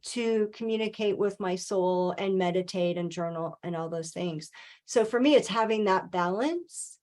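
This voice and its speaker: A woman speaking as a guest in a webinar interview, talking calmly and earnestly in a coaching tone, with the odd "um". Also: mid-range voice. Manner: calm, earnest, coaching tone with filler ums. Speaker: guest speaker, author and financial advisor. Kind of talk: webinar interview, motivational talk.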